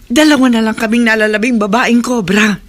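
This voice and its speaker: A woman's voice: fairly high pitch, fairly even intonation, fairly quick, close to an everyday voice. A woman acting a conflicted cobra shapeshifter torn by forbidden love, solemn and dramatic, in a Filipino horror radio drama.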